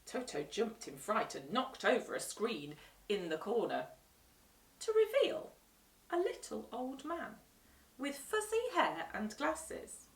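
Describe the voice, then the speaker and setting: A woman reading a children's storybook aloud with expressive narration. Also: a little high, slow, distant mic. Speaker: storytime reader. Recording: roomy echo, away from the mic.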